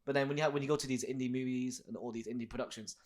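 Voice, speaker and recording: A male host keeps up a quick, rambling flow in a casual podcast chat. He stops to search for words, and his pitch stays in a middle range. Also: steady tone, a little mellow. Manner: rambling, many fillers and restarts, searches for words.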